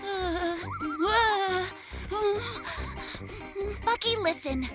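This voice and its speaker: Timid, high-pitched bear cub. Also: female voice, very slow, over music, full character voice. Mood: nervous. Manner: hesitant, anxious, earnest. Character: Bjorn, timid worrying young boy bear cub. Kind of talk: children's cartoon acting.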